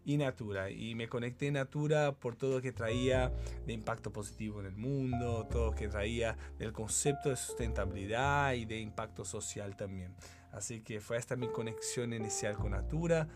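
A Brazilian man in his forties speaking Spanish with a Brazilian Portuguese accent, a company manager reflecting in a relaxed interview, often adding "¿no?". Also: medium pitch, moderate pace. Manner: warm, reflective, often adds "¿no?". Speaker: guest, company general manager. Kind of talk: casual interview chat. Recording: podcast with guitar music behind.

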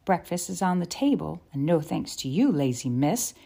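A woman voicing a brisk, good-natured frontier aunt who scolds in a storybook. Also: sits low, very animated, vocal fry, warm tone, husky, full character voice. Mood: mock scolding. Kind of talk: storybook character voice.

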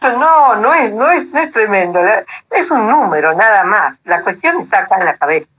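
A woman's voice: medium pitch, very animated, moderate pace, a bit bright, muffled recording, a little hiss or hum. An Argentine woman of eighty-two telling stories casually as an interview guest, with an easygoing, anecdotal manner. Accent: Argentine Spanish.

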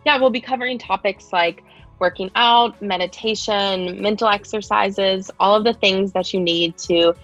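A woman's voice: medium pitch, fairly even intonation, moderate pace, a bit bright, muffled recording, soft background music. A woman who works as a health and wellness coach, co-hosting a podcast teaser in an upbeat manner, stretching out key words.

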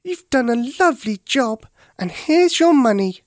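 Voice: high-pitched, expressive, unhurried, a little mellow, no echo, clean studio sound, voice pushed up. A storyteller voicing grateful, sweet little doll's house dolls with sing-song politeness for a children's story. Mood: pleased, grateful.

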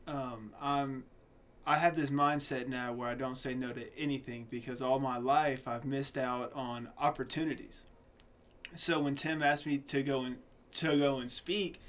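A man's voice: mid-range voice, fairly bright tone. A man in his twenties answering interview questions earnestly, with fillers and repeated words. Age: 20s. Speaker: guest.

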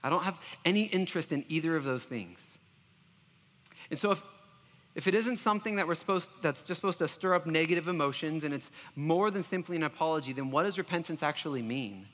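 A man's voice: a little high, a little fast, fairly bright tone, long reverb tail. A man preaching a sermon as pastor, earnest and self-deprecating, often restarting his phrases.

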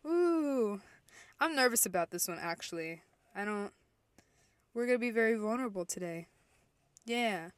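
A woman's voice: fairly high pitch, expressive, moderate pace, long pauses, smooth, no echo. A woman in her early twenties from El Salvador, hosting her own podcast and telling her story in a chatty, candid way that often corrects itself. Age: 20s. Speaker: podcast host. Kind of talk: casual faith podcast monologue.

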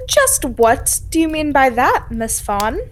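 Indignant female suspect acted by a woman, high swooping voice, flustered and defensive, 1940s radio mystery style. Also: very animated, slow pace, slight background noise, heavily acted. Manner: flustered, stammering, protesting. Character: defensive, indignant older sister under accusation. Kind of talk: 1940s radio drama acting.

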